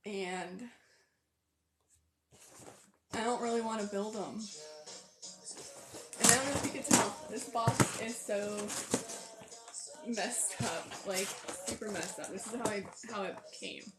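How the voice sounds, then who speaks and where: A hoarse, tired-sounding woman hosting a casual livestream, rambling and thinking aloud as she sets up. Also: medium pitch, slow pace, pauses often, loud music behind the voice. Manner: rambling, self-deprecating, thinks aloud while sorting pieces. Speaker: livestream host. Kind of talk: casual livestream chat while setting up a build.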